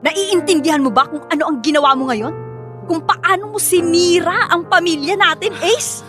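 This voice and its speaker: High-voiced, hurt Filipino wife. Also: female voice, normal speed, a bit bright, soft background music. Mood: hurt, accusing. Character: hurt, reproachful betrayed wife and young mother. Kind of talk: Filipino radio drama acting.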